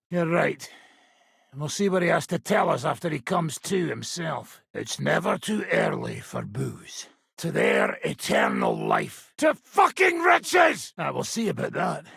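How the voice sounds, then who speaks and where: A rough, hard-drinking soldier from a video game, with an extremely raspy, gravel-filled voice. His pitch sits high for a man and swings wildly as he speaks, coarse and hot-tempered. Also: a bit bright, no room sound, studio quality, full character voice. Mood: gruff banter, then rowdy celebrating. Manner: coarse, swearing, blunt. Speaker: game character voice actor. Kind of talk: video game character dialogue.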